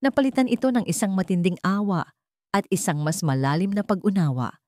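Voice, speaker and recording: A woman narrating a dramatic scripted story in an emotive, measured storytelling manner. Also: mid-range voice, big pitch swings, darkish tone, no echo, clean studio sound.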